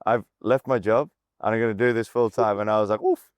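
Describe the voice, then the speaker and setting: A man's voice: lowish voice, steady tone, brisk, clear, resonant tone, no echo. A man co-hosting a podcast interview, chatty and eager with his questions. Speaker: podcast co-host. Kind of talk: casual interview chat.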